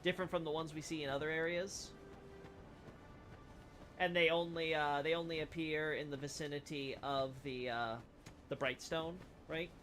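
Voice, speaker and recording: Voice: mid-range voice, fairly even intonation, moderate pace, fairly bright tone, soft background music. A streamer casually explaining game lore while playing, rambling with lots of "you know" and "right?".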